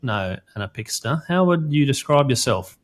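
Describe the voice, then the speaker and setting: A man's voice: mid-range voice, expressive, moderate pace. A man hosting an interview podcast, asking loose, friendly questions with fillers. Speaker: podcast host.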